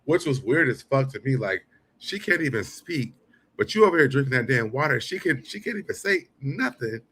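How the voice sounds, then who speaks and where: A man's voice: medium pitch, sing-song, fairly quick, pushed and bright, a little hiss or hum. A man hosting a show, giving casual, blunt and profane live commentary between clips. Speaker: show host.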